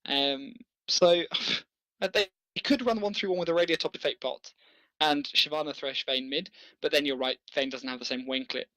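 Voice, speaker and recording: Man casting an esports match, bright forceful voice, dry close sound, fairly high pitch, enthusiastic and analytical. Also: normal speed, no echo, rough recording. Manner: enthusiastic, analytical, chuckles often. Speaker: esports caster. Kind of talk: casual game commentary.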